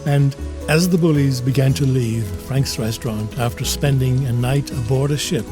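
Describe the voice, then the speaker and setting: A man narrating a scripted comic adventure as a storybook narrator, in a measured, wry way. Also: mid-range voice, music in the background, natural voice.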